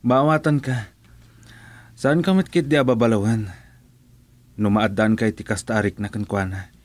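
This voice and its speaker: A man's voice: on the low side, fairly even intonation, moderate pace, no echo, natural voice. Man acting an earnest friend, dry studio sound. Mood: consoling, earnest. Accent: Filipino. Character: earnest, reasoning male friend urging forgiveness. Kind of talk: radio drama acting.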